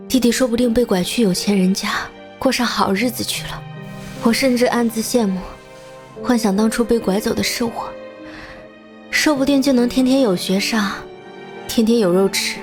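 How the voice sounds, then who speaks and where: A woman playing a bitter, remorseful young woman in an animated drama, narrating her past in a measured, melancholy way. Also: fairly high pitch, over music, lightly acted.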